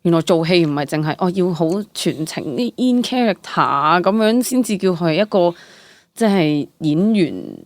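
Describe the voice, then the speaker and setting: A young woman with a Hong Kong Cantonese accent chatting casually as an interview guest, animated and dropping English words into her speech. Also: on the low side, normal speed, dry recording. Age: young adult. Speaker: guest, stage actress.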